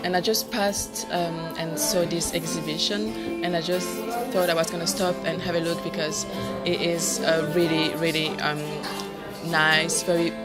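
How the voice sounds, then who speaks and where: Low-voiced, hesitant woman over loud guitar. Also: flat delivery, normal speed. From Rwanda. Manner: hesitant, searching for words. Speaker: exhibition visitor. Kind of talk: spoken testimonial. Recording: loud guitar music behind.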